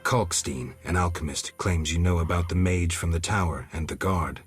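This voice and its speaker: An actor playing a stoic, gruff monster hunter in a video game, terse and deadpan with a gravelly voice. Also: male voice, low voice, normal speed, faint music behind, natural voice. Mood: cool, businesslike.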